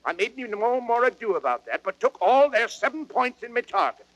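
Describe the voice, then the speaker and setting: Blustering, high-pitched fat old knight. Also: male voice, sing-song, moderate pace, a bit bright, heavily acted, rough recording. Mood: boastful. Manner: blustering, declamatory, exaggerating. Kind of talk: old radio Shakespeare acting.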